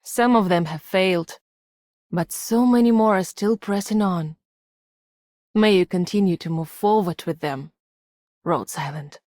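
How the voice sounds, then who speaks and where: A woman's voice: lowish voice, a little slow, pauses often, a little mellow, no echo, clean studio sound, close to an everyday voice. A woman voicing a compassionate, steadfast idealist in a scripted video game line. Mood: solemn, hopeful.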